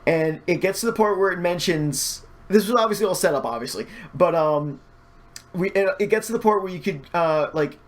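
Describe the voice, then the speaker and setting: Higher-pitched man in casual, stammering chat. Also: fairly quick. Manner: animated retelling with stammered false starts and repeated words. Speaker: podcast guest, hobby artist and fandubber.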